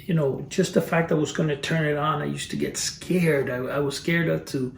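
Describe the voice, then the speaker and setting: Casual collector host, fifties, Cuban-born, gravelly and rambling, recorded at a distance in an echoey room with faint hum. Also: male voice, on the high side, steady tone, moderate pace. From Cuba. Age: 50s. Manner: rambling, restarts phrases, chuckles at his memories. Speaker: collector reviewing vintage items. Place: cluttered storage room.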